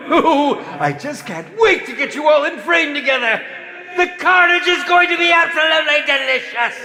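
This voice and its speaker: An actor playing a sadistic, theatrical horror film director in a video game, with flamboyant delivery and gleeful menace. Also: male voice, very high voice, noisy background, heavily acted. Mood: gleeful, maniacal. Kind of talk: video game villain voice acting. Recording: echoing long reverb, music behind.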